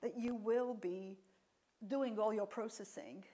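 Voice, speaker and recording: A woman's voice: mid-range voice, steady tone, unhurried, mellow, poor audio. Serbian-native woman lecturer answering audience questions, lots of ums and restarts. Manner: explanatory, with frequent fillers and restarts.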